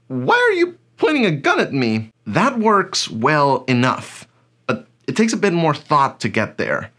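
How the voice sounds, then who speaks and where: A man's voice: fairly high pitch, fairly bright tone. A man giving scripted instructional narration as a course teacher, conversational and fond of rhetorical questions and acted-out examples. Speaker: instructor of an online audio drama course.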